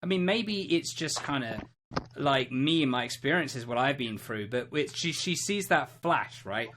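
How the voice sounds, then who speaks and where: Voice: medium pitch, lively intonation, normal speed, fairly bright tone, a little hiss or hum. A podcast co-host talking casually and emphatically about games, opinionated and dotted with conversational asides. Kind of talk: casual video game discussion.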